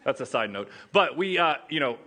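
A man's voice: medium pitch, animated, a little fast, bright, forceful tone. A young man from Oklahoma with an Oklahoma accent, preaching as a guest pastor in a casual, joking way that chats with the audience. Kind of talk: sermon.

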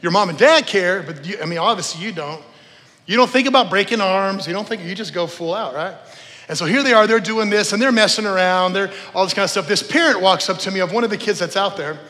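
Male preacher, middle-aged, forceful bright delivery, conversational and emphatic storytelling, long hall reverb. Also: high-pitched, quick pace. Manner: conversational, emphatic, repeats phrases, checks in with listeners. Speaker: preacher, former youth pastor. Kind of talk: informal storytelling sermon to a congregation. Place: church service in a large room.

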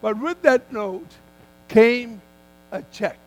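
An older man preaching a sermon as a guest speaker, in emphatic, deliberate phrases that he repeats for weight. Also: very high voice, expressive, low-quality recording. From Malaysia.

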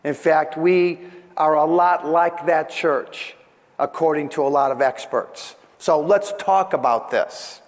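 A long reverb surrounds this man as he preaches to his congregation. His voice sits somewhat high for a man and sounds slightly off from a recent throat infection. He speaks deliberately and earnestly, leaving pauses for emphasis. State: voice slightly off after strep throat. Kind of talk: sermon. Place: large church hall during a service.

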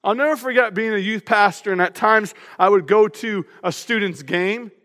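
A man with a high voice preaches a sermon in a loud, energetic and emphatic way. His voice rings out through a church auditorium with a long hall reverb. He speaks to the congregation in front of him. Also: normal speed. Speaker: pastor.

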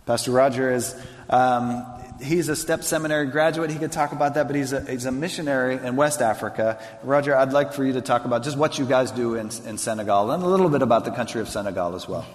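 A man's voice: mid-range voice, quick pace. A man who is a pastor, preaching earnestly and conversationally, often restarting his sentences. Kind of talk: sermon and onstage interview. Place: large hall with long reverb. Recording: long hall reverb.

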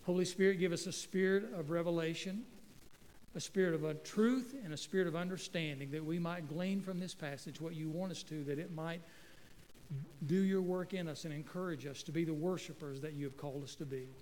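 A middle-aged guest pastor from North Carolina, preaching in a folksy, joking way with stumbling restarts. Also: male voice, on the high side, fairly quick, darkish tone, long reverb tail, a little hiss or hum. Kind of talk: sermon. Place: church sanctuary. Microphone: handheld microphone.

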